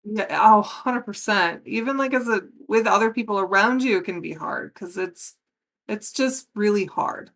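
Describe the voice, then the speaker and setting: A woman's voice: mid-range voice, moderate pace, fairly bright tone. An American woman in her forties speaking as a podcast guest in casual conversation, earnest and emphatic. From United States. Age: 40s.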